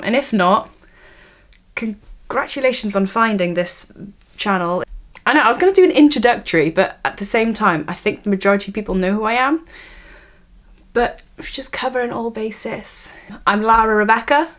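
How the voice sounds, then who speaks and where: An eighteen-year-old woman with a Welsh accent, talking to a camera on a tripod in her bedroom, nervous and self-deprecating, often stopping and restarting. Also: on the high side. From Wales. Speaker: student vlogger. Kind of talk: casual vlog to camera.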